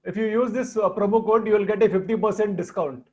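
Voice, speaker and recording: A man with an Indian accent hosting a casual online interview, speaking haltingly with pauses mid-sentence. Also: high voice, fairly even intonation, darkish tone. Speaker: host presenting a video platform. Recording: dull lo-fi audio.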